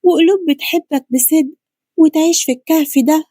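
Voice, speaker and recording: A woman's voice: high-pitched, a little fast, warm tone, no room sound, clean studio sound, pitched above the performer's own voice, close to an everyday voice. A woman voicing a naive, emotional young woman with heartfelt, exclaiming delivery and an Egyptian accent. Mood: wistful, relieved.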